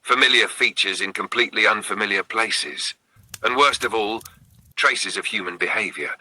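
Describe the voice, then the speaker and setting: A man narrating a scripted horror story in a suspenseful manner, breaking it into short phrases with dramatic pauses. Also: on the low side, sharp tone, breathy edge, no echo, poor audio.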